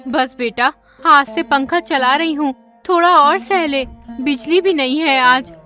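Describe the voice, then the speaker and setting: A gentle weary poor mother. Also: high voice, unhurried, faint music behind. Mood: soothing. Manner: soothing, weary.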